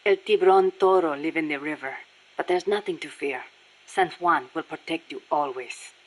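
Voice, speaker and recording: A woman's voice: sits low, normal speed, poor audio. A woman voicing a passionate, embittered Nicaraguan Sandinista guerrilla with a Spanish accent in a video game, speaking in a solemn, earnest way. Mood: gentle, calm. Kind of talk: scripted video game voice acting.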